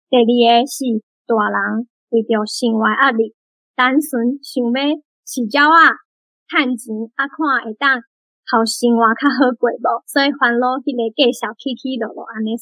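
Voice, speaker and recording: A woman's voice: on the high side, fairly even intonation, moderate pace, a bit bright, no room sound, poor audio. A woman with a Taiwanese accent sharing her reflections as a reading group member. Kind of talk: spoken reading reflection in a discussion. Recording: music behind the voice.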